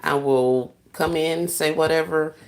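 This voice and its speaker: A soft-spoken woman, chatting casually with viewers on a livestream while she works on gift baskets. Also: low-pitched, steady tone, measured pace. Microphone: phone set down while working.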